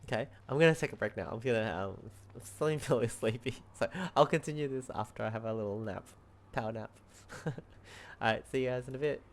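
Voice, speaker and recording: A woman's voice: extremely low pitch, fast talker. A woman game streamer giving casual, chatty and slightly hesitant playthrough commentary. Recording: music behind the voice. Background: game music.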